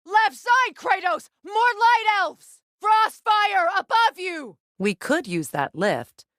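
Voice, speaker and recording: A woman's voice: very high-pitched, sing-song, slow, sharp tone, no echo, full character voice. A warrior goddess from a fantasy video game, grave and composed. Her lines are formal and earnest, delivered as dramatic dialogue in a close, dry recording. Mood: urgent battle shouts. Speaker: video game character voice actress.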